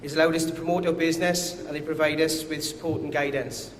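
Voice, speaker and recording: A man's voice: a little high, little pitch movement, fast. A man with a strong Welsh accent giving a conference presentation as a company director, a little nervous and self-deprecating. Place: conference hall. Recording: echoey hall with faint noise.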